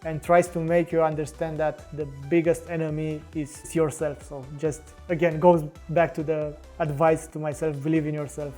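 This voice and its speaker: Romanian man, slightly high voice. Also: flat delivery, moderate pace, hardly any pauses, mellow, soft background music. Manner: hesitant, many restarts and fillers. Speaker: podcast guest, developer. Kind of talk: casual interview talk.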